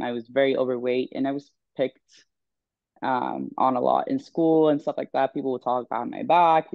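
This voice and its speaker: A woman's voice: a bass voice, creaky, no room sound, highs cut off. A woman in her twenties from Sweden, a coach speaking as a podcast guest in a candid, chatty way with many ums. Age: 20s. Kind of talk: casual interview chat.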